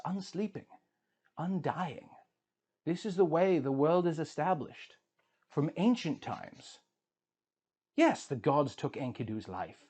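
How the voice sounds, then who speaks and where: A man's voice: on the high side, a little mellow, pitched above the performer's own voice. A man voicing a solemn ancient immortal sage who admonishes in a grave, oratorical reading of an epic. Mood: solemn, insistent.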